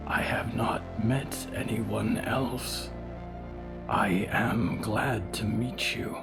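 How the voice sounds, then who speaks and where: A man voicing a gentle, lonely undead wanderer in a horror audio drama, speaking in a breathy near-whisper. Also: lowish voice, fairly even intonation, very slow, heavily acted. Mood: soft, sad. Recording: loud music under the voice. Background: instrumental music.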